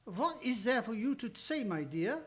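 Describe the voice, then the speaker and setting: A man playing a fussy, shrewd elderly professor in a radio drama, speaking deliberate broken English with dropped articles. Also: extremely high pitch, sing-song, a bit bright, heavily acted. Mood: firm, skeptical. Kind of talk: old-time radio drama acting.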